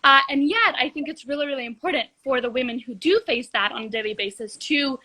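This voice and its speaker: A woman's voice: sits high, quick pace, bright, forceful tone. A teenage girl from Egypt, a youth activist, speaking earnestly and fluently as a guest in a live video-call interview. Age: teens.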